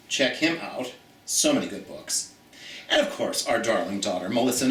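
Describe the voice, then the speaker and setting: A man hosting a children's storytime in a theatrical, folksy, chatty way, addressing listeners directly as in an expressive picture-book read-aloud. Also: medium pitch. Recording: roomy echo, distant from the mic.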